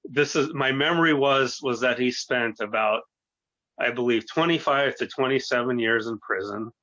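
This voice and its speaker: A man of thirty-two, a native Russian speaker who grew up in the United States, talks as an interview guest. His voice is bright and forceful, at a medium pitch. He gives a halting, earnest personal account on a dull, lo-fi recording. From born in Russia, grew up in the United States.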